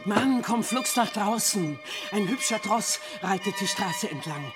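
A man's voice: high-pitched, expressive, measured pace, loud music behind the voice. A man playing an eager lookout at an inn in a radio drama, announcing in a singsong manner.

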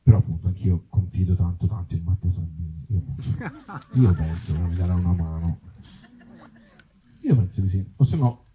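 A man with a Tuscan accent talking informally to a live audience, halting as he searches for words, with wry irony. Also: low voice, slight background noise. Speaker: author and actor, featured guest at a reading event. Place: outdoor evening festival in an olive grove. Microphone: small microphone. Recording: dull, muffled live sound.

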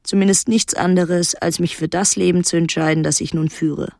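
An actress playing a solitary, watchful island woman, narrating a literary radio drama in a measured, restrained and introspective way. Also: fairly low pitch, fairly even intonation, creaky, darkish tone, no echo, studio quality. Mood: reflective, wistful.

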